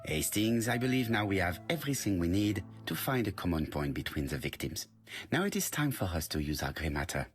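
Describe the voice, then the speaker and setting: Belgian detective, low voice, lively intonation, French-tinged accent, formal, courteous, self-assured, video game dialogue over music. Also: male voice. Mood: courteous, purposeful. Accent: French-speaking Belgian. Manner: formal, precise, deliberate.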